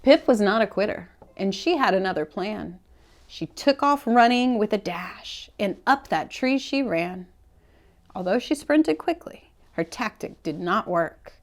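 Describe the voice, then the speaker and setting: A woman's voice: mid-range voice, lively intonation, measured pace. Woman reading a children's storybook aloud. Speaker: children's read-aloud host at a public library. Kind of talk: storybook read-aloud for children, rhyming verse.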